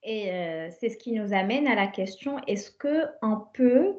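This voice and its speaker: A woman hosting a conversational interview, building her questions deliberately in short phrases, on slightly muffled audio. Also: medium pitch, lively intonation, darkish tone. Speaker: podcast host.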